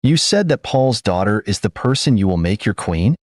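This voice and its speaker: A man's voice: fairly low pitch, sing-song, moderate pace, dry recording, studio quality. A man voicing an aging king in a read folk tale, exclaiming with sorrow over a lost friend. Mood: firm. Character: aging male king, grieving old friend.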